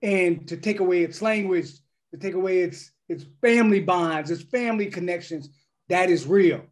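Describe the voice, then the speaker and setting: A man speaking in a measured, preacherly cadence on a video call panel. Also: sits high. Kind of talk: reflective panel discussion. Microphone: webcam on video call.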